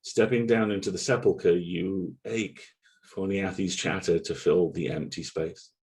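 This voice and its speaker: A man acting a brooding, guilt-haunted narrator in an audio drama read, with a measured, solemn, literary delivery. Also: low voice, fairly even intonation, darkish tone. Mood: somber, brooding. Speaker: voice actor at a table read.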